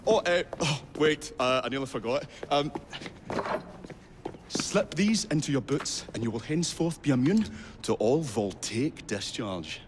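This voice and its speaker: A man's voice: mid-range voice, expressive, a little slow, noisy background, close to an everyday voice. An actor playing a nervous, eccentric young male inventor who hesitates with many ums and uhs, in a game cutscene. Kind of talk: video game cutscene acting.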